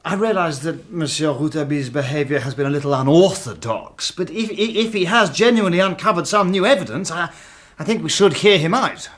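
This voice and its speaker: A man's voice: a little high, expressive, brisk, fairly bright tone. A man acting in a radio drama as an earnest, courteous defence lawyer, hesitant, deferential and given to stammering. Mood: pleading.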